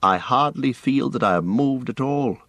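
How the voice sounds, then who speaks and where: A man's voice: mid-range voice, normal speed, a bit bright, dry recording, voice pushed up, natural voice. A man doing a polite young hobbit.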